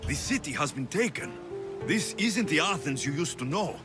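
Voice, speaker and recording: An actor playing a vengeful, protective young Greek warrior and sea captain in a video game cutscene. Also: male voice, on the high side, lively intonation, moderate pace, lightly acted. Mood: grieving, urgent. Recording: loud music behind.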